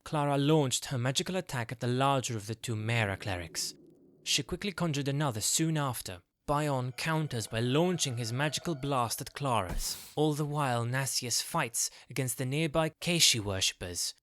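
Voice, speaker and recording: A man's voice: mid-range voice, expressive, moderate pace, a bit bright, a little hiss or hum. A man narrating a scripted fantasy audio drama in a storytelling style.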